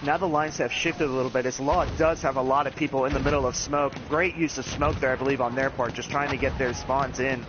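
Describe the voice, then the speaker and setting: A man giving play-by-play commentary on an online match, talking fast with the odd chuckle. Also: medium pitch, muffled recording, noisy background. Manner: rapid, animated play-by-play with chuckles.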